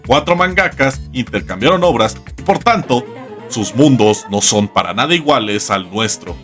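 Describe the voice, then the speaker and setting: A man's voice: mid-range voice, animated, moderate pace, fairly bright tone. A man hosting a scripted comedic video essay with wry, theatrical emphasis. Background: background music.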